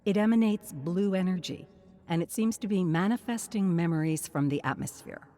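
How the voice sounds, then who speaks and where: An actor playing a composed expedition leader who narrates a game journal in a measured, matter-of-fact way. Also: mid-range voice, mellow, lightly acted. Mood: composed curiosity. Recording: clear noise and ambience behind voice.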